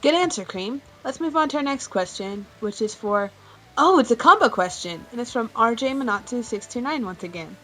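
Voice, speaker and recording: Woman host reading fan questions, casual, highish voice, music too. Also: lively intonation, a little fast, sharp tone, low-quality recording. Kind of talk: casual scripted Q&A hosting.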